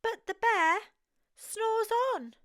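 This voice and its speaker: A woman with an English accent reading a bedtime story to toddlers in a measured, deliberate storybook delivery. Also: extremely high pitch, expressive, fairly bright tone. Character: dog storyteller who reads picture books to small children. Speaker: children's storyteller. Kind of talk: slow read-aloud bedtime story for toddlers.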